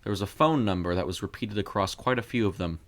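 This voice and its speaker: Male narrator, lowish pitch, scripted audio fiction, third-person storytelling. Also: fast.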